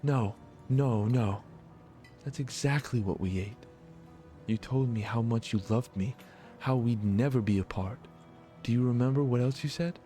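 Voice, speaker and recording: A man's voice: mid-range voice, unhurried, pauses often, dark tone, soft background music, natural voice. A man voicing a creepy, lovesick young noblewoman in a visual novel, clingy and wounded. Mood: wounded, accusing. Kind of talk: visual novel dialogue read aloud.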